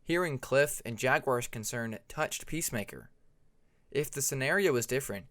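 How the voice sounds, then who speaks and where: A man's voice: mid-range voice, fairly bright tone, dry recording. A man reading a scripted story aloud as an audiobook narrator.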